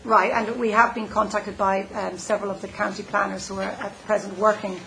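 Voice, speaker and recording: Woman, gravelly, measured, hesitant, presenting at a public meeting. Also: medium pitch, flat delivery, bright, forceful tone, rough recording. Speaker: planning staff presenter.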